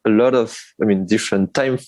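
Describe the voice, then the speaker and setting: A man's voice: lowish voice, a little fast, a little mellow, no room sound. A man hosting a podcast, talking in a rambling way with restarts and laughs over a video call. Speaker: podcast host. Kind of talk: casual interview chat. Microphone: remote video call.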